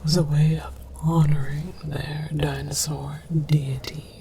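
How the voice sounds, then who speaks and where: Slow whispering alien scholar voiced by a woman. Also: deep voice, creaky, crowd noise, heavily acted. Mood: earnest, scholarly. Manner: deliberate, formal mock-academic, deadpan. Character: scholarly alien archaeologist presenting earth relics, earnest and bemused. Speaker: host of an educational comedy show. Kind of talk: whispered ASMR scripted presentation.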